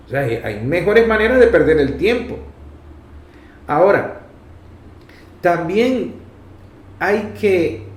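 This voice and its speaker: A man with a Venezuelan accent speaks in a fairly high voice, with plenty of room echo around it. He delivers political commentary on his own, emphatic and instructive, setting up points with rhetorical questions. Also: darkish tone. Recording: echoey room.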